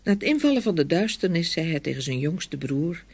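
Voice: mid-range voice, big pitch swings, a little fast, darkish tone, breathy edge. A storyteller giving a dramatic scripted narration of a fairy tale. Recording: faint ambient noise behind voice.

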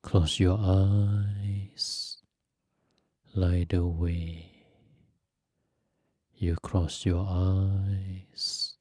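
A man leading a guided meditation in a soft, breathy, gentle voice, speaking in short phrases with long pauses between them. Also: sits low, level tone, dry recording, clean studio sound. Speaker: Buddhist monk teaching meditation.

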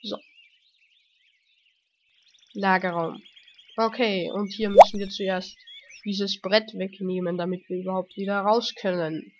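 Let's-play host: woman with a cold, slow and chatty, on a dull lo-fi mic. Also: mid-range voice, fairly even intonation. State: has a cold, coughing. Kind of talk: casual gameplay commentary. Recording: dull lo-fi home mic with faint noise.

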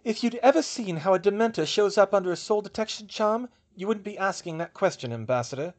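Man voicing a high-pitched, passionate young British witch. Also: animated, brisk. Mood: indignant. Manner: emphatic, indignant protesting.

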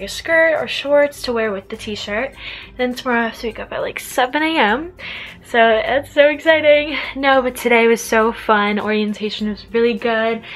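Bubbly young woman vlogging from her dorm. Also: a little high. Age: young adult. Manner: bubbly, lots of "like" fillers. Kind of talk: casual vlog chat. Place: small college dorm room, indoors. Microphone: handheld camera at arm's length.

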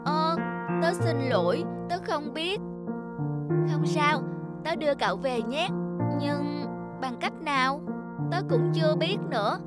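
An earnest little talking object in a children's cartoon. The voice is very high and childlike, with an expressive delivery. Music plays clearly behind it. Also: female voice, slow pace, pauses often. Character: childlike talking cup and flashlight, eager, easily frightened young friends. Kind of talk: dubbed children's cartoon dialogue.

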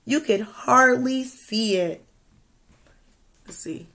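A young woman teacher reading a picture book aloud to children, expressively, pausing to ask questions and add asides. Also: fairly high pitch, sharp tone. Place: small indoor room with classroom wall charts.

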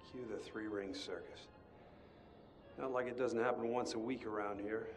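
A cynical male asylum patient played by a man in a horror game speaks in a low American voice. He is restless and jaded, tossing out sardonic wisecracks in old-fashioned slang. Music plays behind him. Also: lightly acted. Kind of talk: video game acting.

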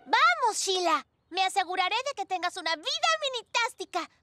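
A woman's voice: very high-pitched, very animated, moderate pace, pushed and bright, dry recording, full character voice. A woman playing an upbeat, determined young girl heroine in a dubbed children's cartoon, squeamish and theatrical in manner. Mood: eager, determined. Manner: exclamatory, theatrical. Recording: music bed under the dialogue.

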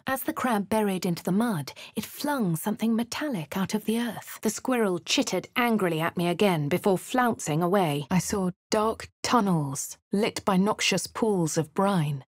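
A woman voicing a refined, posh young adventurer with a British accent, speaking crisp, clipped game lines and narration. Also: medium pitch, animated, normal speed, no echo, studio quality, lightly acted. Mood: composed. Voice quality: crisp, well-spoken. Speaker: player character.

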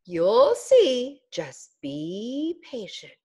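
A bright, cheerful firefly from a picture book, reassuring a fretful friend with swooping, lively tones. It speaks slowly and sweetly. A woman voices it. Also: on the high side, breathy edge, heavily acted.

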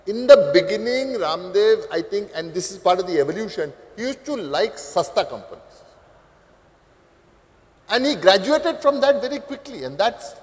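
An older man with an Indian English accent, speaking animatedly as a panelist on a conference stage through a lapel mic. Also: high voice. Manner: animated, gestures broadly while talking. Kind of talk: panel discussion. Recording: reverberant hall, lapel mic.

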